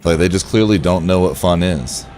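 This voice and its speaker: A man with a relaxed voice, chatting casually to viewers as he streams a game and thinks aloud. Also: deep voice, normal speed. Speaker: game livestreamer. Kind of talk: casual livestream chat over gameplay.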